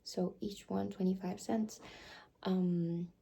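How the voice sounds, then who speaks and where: Young female ASMR whisperer, gravelly and breathy, soft and halting. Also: medium pitch, fairly even intonation. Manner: soft whispering, hesitant with many fillers. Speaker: ASMR creator.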